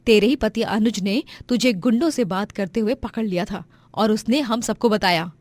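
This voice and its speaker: A woman voicing a bold, protective elder sister who scolds forcefully in a moral story. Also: medium pitch, normal speed, no echo, close to an everyday voice. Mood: indignant.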